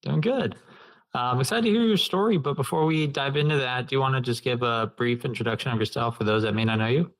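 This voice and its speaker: A man's voice: mid-range voice, fast talker, rough recording. A man hosting a casual interview, speaking informally in short prompts and questions. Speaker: interview host.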